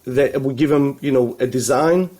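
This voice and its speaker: A man's voice: medium pitch, moderate pace, warm tone. Greek-born man chatting on a video call. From Greece. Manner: rambling, many restarts and fillers, laughs easily. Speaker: guest, web agency founder. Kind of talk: casual video call interview. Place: home room full of toy shelves.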